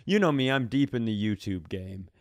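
A man's voice: mid-range voice, very animated, brisk, no room sound, clean studio sound. A man co-hosting a podcast, telling casual comic stories in a wry, rambling way. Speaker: podcast co-host. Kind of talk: casual comedic storytelling.

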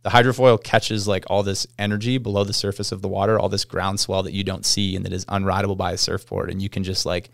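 Podcast guest: Canadian man, lowish clean voice, informal enthusiastic explainer, dry recording. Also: flat delivery, quick pace, vocal fry, hardly any pauses, no room sound. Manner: surfer slang, informal. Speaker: podcast guest, pro snowboarder. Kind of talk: casual chat, enthusiastic explaining.

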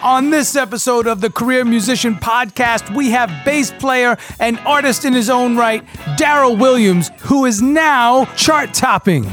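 A man's voice: very high voice, measured pace, a bit bright. A man hosting a music podcast, moving between narrated introductions and casual interview talk.

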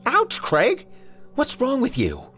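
A man voicing an exasperated, indignant wife in a horror story, scolding in a sharp, pinched way. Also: low voice, huge pitch swings, normal speed, soft background music, full character voice. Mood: indignant, screeching. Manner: sharp, pinched, bewildered annoyance.

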